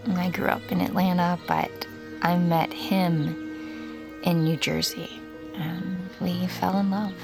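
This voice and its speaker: An actress playing an anguished, protective middle-aged mother in an audio drama, tender and halting as she speaks. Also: on the low side, level tone, over music. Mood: sorrowful, confessing. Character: anguished, protective mother confessing a family secret. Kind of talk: audio fiction acting.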